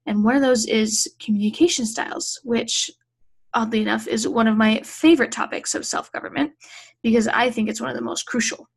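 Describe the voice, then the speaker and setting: A young woman co-hosting a casual chat, chiming in with quick, relaxed remarks. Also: on the high side, gravelly, breathy edge. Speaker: podcast co-host.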